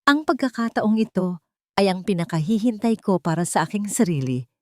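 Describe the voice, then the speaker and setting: A woman's voice: mid-range voice, expressive, fairly quick, no echo, studio quality. A woman with a Filipino accent reading a scripted dramatic story in the first person as a narrator.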